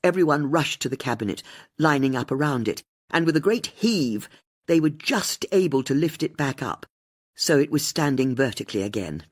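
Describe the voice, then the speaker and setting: A woman with a husky voice, reading a mystery audiobook as scripted narration. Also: low-pitched, expressive, normal speed.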